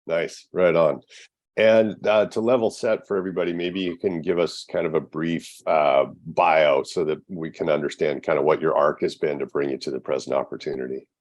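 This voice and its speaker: A man's voice: very deep voice, fairly even intonation, normal speed, a little mellow. A man hosting a business podcast interview, easygoing with upbeat interjections. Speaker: podcast host.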